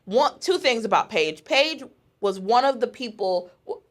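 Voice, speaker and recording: A woman hosting a podcast in a studio, with a somewhat deep voice, chatting casually and affirming often. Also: lively intonation, measured pace. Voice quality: deeper voice. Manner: upbeat, affirming with frequent yeses. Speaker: podcast host. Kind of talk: casual chat.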